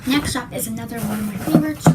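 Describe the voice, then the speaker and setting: Young woman with a worn-out voice, loud noise behind her. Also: a little high. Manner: apologetic, chatty, drawn-out words. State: losing her voice, clearing her throat. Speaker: slime reviewer. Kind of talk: casual product review vlog.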